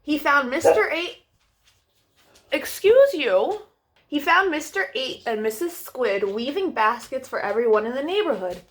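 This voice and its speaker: Slow, higher-voiced woman reading to children. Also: expressive. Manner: sing-song, addressing children directly. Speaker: children's storytime host. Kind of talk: read-aloud storytelling for young children.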